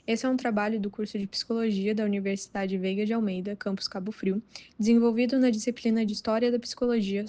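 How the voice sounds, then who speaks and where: A woman from Brazil with a Brazilian Portuguese accent, a psychology student reading a formal academic introduction in a steady way. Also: fairly high pitch.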